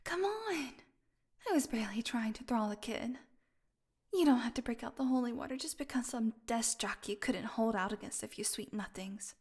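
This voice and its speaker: A woman's voice: a little high, expressive, normal speed, darkish tone, husky. A woman playing a snarky, defiant, starved vampire prisoner in an audio roleplay, with a sarcastic, taunting drawl. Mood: irritated, defiant.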